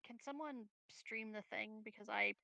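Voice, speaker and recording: A woman's voice: mid-range voice, normal speed, gravelly, noisy background. A woman playing a tabletop roleplaying game, chatting casually. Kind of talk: casual chat during online game.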